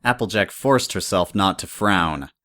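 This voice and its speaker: A woman's voice: rumbling low, lively intonation, unhurried, a bit bright. A woman reading scripted third-person story narration as the narrator.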